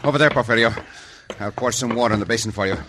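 An actor playing a brave, commanding Mexican adventurer with a Spanish accent in an old radio western. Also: male voice, mid-range voice, very fast, natural voice. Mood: urgent. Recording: faintly noisy old radio recording.

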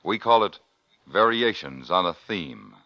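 Low-pitched American radio announcer man. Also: lively intonation. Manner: formal, measured. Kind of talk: 1950s radio announcing, scripted. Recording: muffled old radio recording, loud music.

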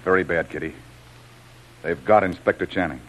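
An actor playing a resolute, commanding adventurer in a vintage radio serial, with firm, declamatory delivery. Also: male voice, sits low, normal speed, a bit bright, low-quality recording. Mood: grim, vengeful. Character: Jim, resolute heroic adventurer, commanding and brave. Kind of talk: 1930s-40s radio adventure acting. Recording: hissy old radio recording.

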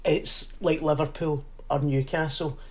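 Glasgow woman, 40s, guest on a chat show, animated storyteller doing little voices. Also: deep voice, unhurried. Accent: Glaswegian. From Scotland. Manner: animated storyteller who acts out other voices. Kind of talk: casual interview chat.

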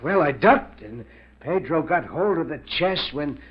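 Old male prospector, high-pitched, rustic, superstitious. Vintage radio thriller, muffled recording. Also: lively intonation, measured pace, breathy edge. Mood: haunted, recounting. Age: older. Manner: rustic, folksy, ungrammatical speech. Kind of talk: 1940s radio mystery acting. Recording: dull 1940s radio recording.

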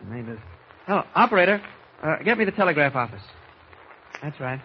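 A brisk newspaper reporter speaks in a high, very animated voice, casual yet purposeful, in vintage radio-serial style. The recording is a bit muffled. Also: male voice, a little hiss or hum. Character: brisk, mild-mannered male newspaper reporter, quick-thinking. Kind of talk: 1940s radio acting.

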